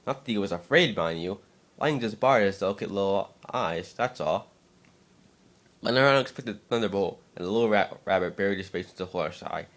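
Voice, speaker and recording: Low-voiced man narrating a story. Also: animated, a little fast, fairly bright tone. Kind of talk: dramatic reading of fan fiction, scripted narration.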